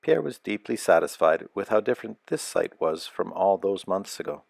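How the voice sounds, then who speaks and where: Deep-voiced man reading a children's story. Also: very animated, normal speed, vocal fry, a little mellow, no echo, clean studio sound. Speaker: storybook narrator. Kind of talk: scripted children's story read-aloud.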